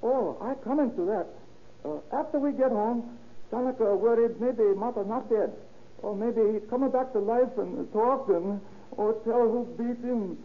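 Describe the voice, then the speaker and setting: High voiced man confessing, broken halting english, old tinny radio crime show. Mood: nervous confessing. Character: Japanese immigrant man confessing murder, halting, weak-willed. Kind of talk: 1930s radio crime drama acting. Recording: tinny band-limited 1930s radio recording.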